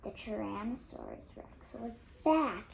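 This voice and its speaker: An eight-year-old child reading a storybook aloud, halting at times and stumbling over words. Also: mid-range voice, wildly swooping pitch, creaky, slight background noise. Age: child, 8 years old. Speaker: young reader on a read-aloud channel.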